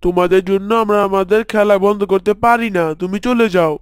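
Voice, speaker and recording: A man's voice: high-pitched, steady tone, brisk, clear, resonant tone, no echo, clean studio sound, natural voice. A man voicing a rude, stubborn neighbor boy in a cartoon, talking in a brusque, dismissive way.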